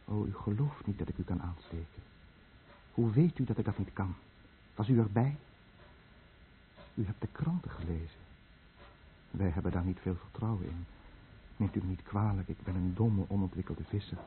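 A man's voice: sits low, moderate pace, long pauses. An actor playing a dying, guilt-ridden fisherman who tells of his lost village in a brooding, bitter radio drama monologue. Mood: urgent warning. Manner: brooding, bitter, confessional monologue addressed to the listener. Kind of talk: Dutch-language radio drama narration. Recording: muffled narrow-band old radio recording, faint noise.

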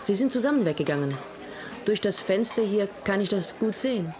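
A woman's voice: mid-range voice, animated, a little fast, darkish tone, natural voice. An actress playing a wry, frank, streetwise woman in a German radio play.

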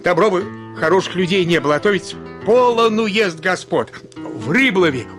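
A man's voice: high-pitched, expressive, normal speed, a bit bright. An actor playing an elderly manservant in a radio play, folksy and fussy as he coaxes and scolds his mistress. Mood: wistful coaxing. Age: older. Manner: folksy, coaxing, grumbling. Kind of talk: Russian radio play acting. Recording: studio radio play with background music.

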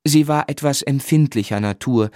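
Actor playing male literary narrator, fond, reflective, measured, mid-pitched, close dry recording, radio drama. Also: dark tone, dry recording, close to an everyday voice. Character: gentle grown man fondly recalling his boyhood.

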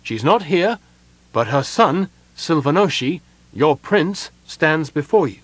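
Young male elf prince, voiced by a male narrator: anguished and imperious, raised pitch, big swings, dry studio sound. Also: sing-song, moderate pace, no echo. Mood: imperious, impatient. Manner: impassioned, urgent, commanding.

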